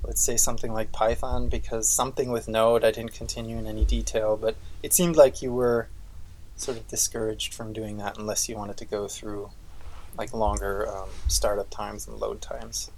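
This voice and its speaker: A man's voice: on the low side, flat delivery, normal speed, darkish tone, slight background noise, rough recording. Guy chatting on a podcast, meanders a lot, um and basically everywhere. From Austria. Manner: rambling, leans on "basically" and "um". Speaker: podcast co-host. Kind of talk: casual chat.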